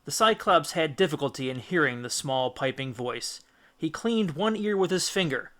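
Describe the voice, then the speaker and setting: A male audiobook reader with a slightly high, crisp-edged and forceful voice. He narrates a children's classic dramatically, in an expressive and deliberate storytelling manner.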